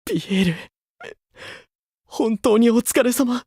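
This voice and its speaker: A man playing a gentle, sentimental grown male idol in a Japanese mobile game, affectionate and excitable in manner. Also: extremely high pitch, slow pace, husky, no echo, clean studio sound, full character voice. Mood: doting, moved.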